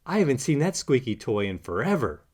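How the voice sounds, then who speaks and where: Eager boy puppy played by a man, earnest and excitable, storybook character with lively swoops. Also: medium pitch, brisk, husky. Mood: amazed. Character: eager young male puppy, helpful leader.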